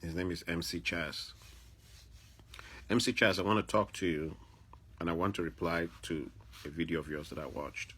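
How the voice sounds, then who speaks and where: A man's voice: low voice, steady tone, moderate pace, long pauses, fairly bright tone, breathy edge. A man from Africa, delivering an opinionated, confrontational monologue to another person, repeating phrases for emphasis. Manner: confrontational, admonishing. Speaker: vlogger.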